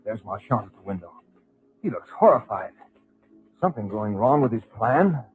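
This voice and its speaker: A man's voice: on the low side, sing-song, normal speed, rough recording. A man playing a terrified, helpless diarist in an old radio horror drama, narrating in an anguished, breathless present tense. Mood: anguished dread. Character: terrified, helpless male diarist held in a trance. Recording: vintage radio recording with music.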